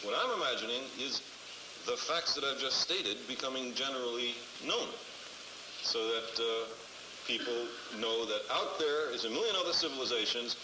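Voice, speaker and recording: A man's voice: mid-range voice, moderate pace. A man, a scientist, giving thoughtful remarks in a dry, wry manner. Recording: echoey room, noisy old film sound.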